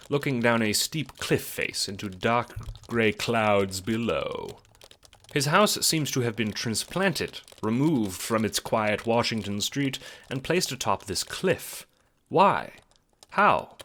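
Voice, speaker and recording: A man's voice: on the low side, fairly bright tone. A man narrating a scripted audio drama in a theatrical, wry, rhetorical storytelling style. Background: music.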